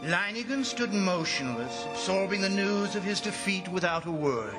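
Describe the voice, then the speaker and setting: An actor playing an anxious commissioner who narrates a radio drama in a grave, theatrical manner. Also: male voice, sits high, animated, normal speed, music in the background. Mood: grave, suspenseful. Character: anxious government commissioner, narrator recounting the siege.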